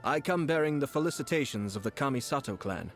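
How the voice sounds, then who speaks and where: An actor playing a courteous, calculating young nobleman in a game, speaking with formal, measured diction. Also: mid-range voice, animated, faint music behind, close to an everyday voice. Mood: composed. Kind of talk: game voice acting.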